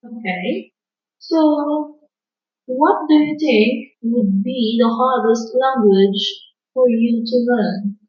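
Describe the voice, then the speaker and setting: Woman examiner asking slow, formal questions. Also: a little high. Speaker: speaking test examiner. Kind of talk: scripted exam questions. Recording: distant, roomy, dull recording.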